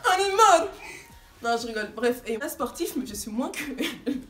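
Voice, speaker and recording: A woman's voice: on the high side, big pitch swings, moderate pace, fairly bright tone, roomy sound, faint music behind. A woman in her twenties, born in Guinea and raised in France, chatting casually and giggling as a vlogger. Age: 20s. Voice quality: giggly, rambling. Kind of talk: casual chat.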